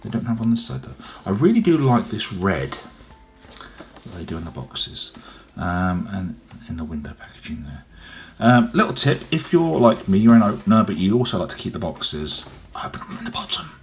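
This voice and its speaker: Gruff deep-voiced man with a cold. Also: very animated, normal speed, slight background noise. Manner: rambling, chatty, frequent ums. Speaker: toy reviewer. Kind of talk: casual unboxing review. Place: indoors at a table, off camera.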